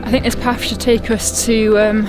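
A woman's voice: on the high side, little pitch movement, moderate pace, over loud music, poor audio. An enthusiastic, chatty woman, talking casually as she vlogs on a walk outdoors. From Nottingham, England. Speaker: travel vlogger. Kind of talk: casual walking vlog to camera. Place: outdoors in open countryside.

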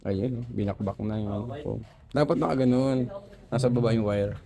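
A man's voice: on the low side, moderate pace, gravelly, mellow. A man with a Filipino accent hosting a vlog, chatting casually and giving teasing, joking running commentary. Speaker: vlog host. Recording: music playing behind.

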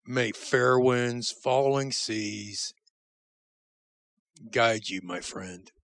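A man giving a solemn, sincere spoken tribute as a producer, in a halting way. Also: mid-range voice, fairly bright tone. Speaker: audio drama writer and producer.

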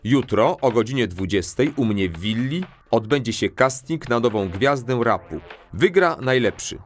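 A man's voice: medium pitch, expressive, moderate pace, a little hiss or hum, natural voice. A man reading formal voice-over translations of slang lines in a comic audio drama. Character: formal lector with literal, proper phrasing.

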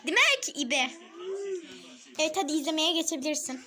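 A woman's voice: high voice, fast talker, sharp tone. A woman chatting casually in a home vlog, turning between her viewers and a small child. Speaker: family vlogger filming a young child. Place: at home, in front of a television. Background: music.

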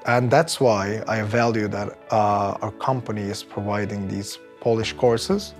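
A man's voice: fairly low pitch, fairly even intonation, measured pace. A man in his thirties from Turkey with a Turkish accent, talking conversationally in an interview as an employee. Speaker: employee interviewee, technical project manager. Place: bright office room indoors, by large windows. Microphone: lapel mic. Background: background music.

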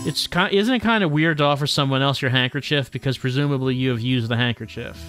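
A man's voice: medium pitch, fairly bright tone. A man livestreaming a visual novel, reading the text aloud and adding casual, dry, tongue-in-cheek asides. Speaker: streamer playing a visual novel. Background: game music.

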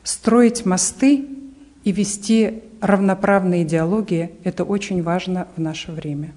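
Halting, mellow middle-aged woman interviewee. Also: lowish voice. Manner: halting, searching for words. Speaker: association press spokeswoman being interviewed. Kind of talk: interview answers. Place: indoor art gallery. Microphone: reporter's handheld microphone.

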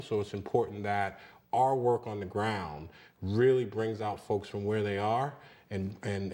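A man's voice: lowish voice, darkish tone. A man in his thirties, a union leader speaking earnestly as a guest in a TV studio interview. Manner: earnest, repeats words when emphasizing. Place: small TV studio.

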